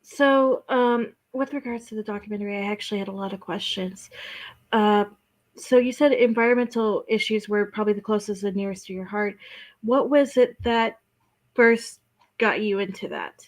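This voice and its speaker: A woman co-hosting a video-call interview, asking questions quickly and softly with a few ums. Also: medium pitch, fairly even intonation. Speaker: co-host. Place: home room indoors.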